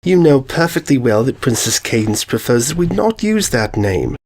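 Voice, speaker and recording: A man plays a prim society mare from a storybook. She speaks in a posh, snooty lilt pitched well above a man's voice and scolds with relish. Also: sing-song, moderate pace, darkish tone. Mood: scolding. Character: prim, haughty adult female pony of high society.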